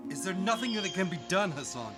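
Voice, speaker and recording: An actor playing a mournful, soft-hearted king with a regal, theatrical manner in a radio drama. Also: sits high, very animated, brisk, loud music behind the voice. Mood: regretful.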